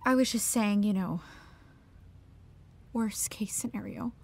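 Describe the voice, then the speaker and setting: A woman's voice: mid-range voice, slow pace, creaky, husky, exaggerated voice. An actress playing a dejected, guarded teenage girl, hesitant and clipped, in naturalistic audio drama dialogue.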